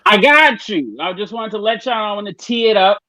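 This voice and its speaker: A woman's voice: mid-range voice, a little fast, sharp tone, dry recording, poor audio. A woman guest on a comedy podcast, chatting playfully and laughing often. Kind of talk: casual comedic chat.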